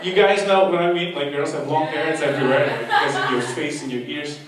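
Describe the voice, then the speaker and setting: Young stand-up comic, chatty and self-mocking, fairly high voice, echoey hall, miked from far off. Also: male voice, steady tone, fairly quick, over a noisy crowd. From half Portuguese, half Argentinian. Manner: chatty, playful, self-mocking, talks to the audience. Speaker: stand-up comedian and course leader. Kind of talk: stand-up comedy set. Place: live comedy show in a hall. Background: audience laughter.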